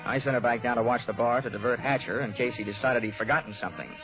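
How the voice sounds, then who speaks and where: A man's voice: medium pitch, fast talker, close to an everyday voice. Man playing a tinny old-radio wisecracking detective. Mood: wry, narrating. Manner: wry, dry, needling. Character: wisecracking, cynical hard-boiled male insurance investigator. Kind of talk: 1940s radio detective acting and narration. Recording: tinny, narrow-band 1940s radio recording, music.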